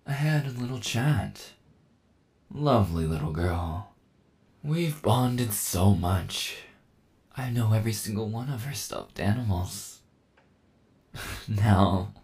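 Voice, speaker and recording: Man acting a gravelly, low-voiced, menacing villain. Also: darkish tone. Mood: veiled threat. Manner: taunting, slow menace, chuckling condescension. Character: menacing, smug cyborg villain, dominant male kidnapper. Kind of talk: ASMR boyfriend audio roleplay.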